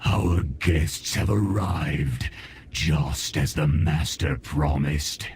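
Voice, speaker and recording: An actor playing a monstrous undead insect lord in a video game, a sinister servant with an otherworldly, drawn-out voice. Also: male voice, exaggerated voice. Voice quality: deep, otherworldly. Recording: game audio with noisy ambience.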